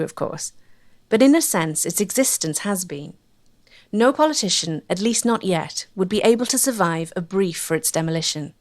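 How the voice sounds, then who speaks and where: Casting: female documentary narrator, medium pitch, scripted historical storytelling read.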